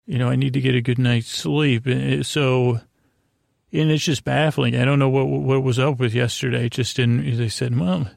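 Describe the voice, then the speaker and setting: An American man hosting a podcast, giving a soothing, meandering bedtime monologue in a halting way, often repeating himself and trailing off. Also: medium pitch, steady tone, vocal fry, a little mellow, no room sound, clean studio sound. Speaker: podcast host.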